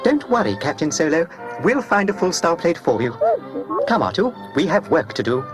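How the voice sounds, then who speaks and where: A prim, anxious golden robot butler, eager to help and formal in every phrase. The pitch swings in a lively way over a clear music bed, as in a children's audio storybook. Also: male voice, normal speed. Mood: eager, pleased. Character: fussy, anxious golden male protocol droid, eager to help. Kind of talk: children's read-along audio story acting.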